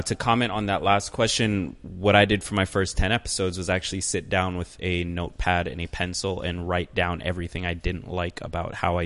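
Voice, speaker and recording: A man speaking from the audience at a conference, calmly sharing a tip. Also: deep voice, big-room sound. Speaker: audience member, podcaster. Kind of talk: commenting from the floor. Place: conference room.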